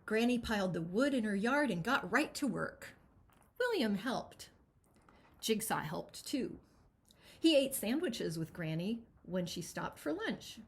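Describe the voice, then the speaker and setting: A woman reading a picture book aloud as its author, with expressive, dramatic storytelling. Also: medium pitch, a little slow. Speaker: children's author reading their own book.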